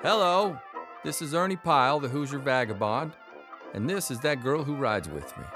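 A man's voice: medium pitch, lively intonation, normal speed, music in the background, natural voice. An actor playing a grumbling, defensive 1930s newspaper columnist in an audio drama, bickering with his wife. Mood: cheerful.

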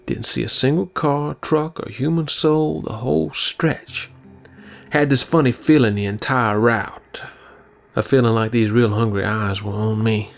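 An actor playing a folksy, gritty older trucker, drawling wryly in an audio drama. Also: male voice, mid-range voice, steady tone, a little slow, creaky, faint music behind. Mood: ominous storytelling. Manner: folksy, drawling storyteller, wry. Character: gritty, folksy older male trucker protecting two young women.

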